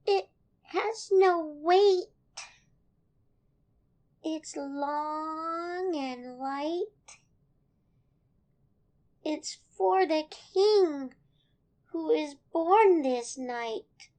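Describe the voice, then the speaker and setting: Tiny, very high-pitched youngster. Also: female voice, drawn-out words, pauses often, darkish tone. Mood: gentle, earnest. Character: tiny, earnest, excitable young child or young camel.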